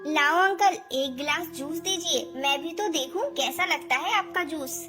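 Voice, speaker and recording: A woman's voice: sits high, moderate pace, natural voice. A woman voicing a small, earnest, kindly female bird with a childlike manner in a Hindi cartoon fable. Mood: plain-spoken. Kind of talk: Hindi cartoon moral story dialogue. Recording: loud children's music under voice. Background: children's music.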